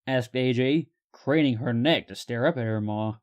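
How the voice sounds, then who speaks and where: A man's voice: mid-range voice, normal speed. A man narrating a scripted story as the host of a fiction reading.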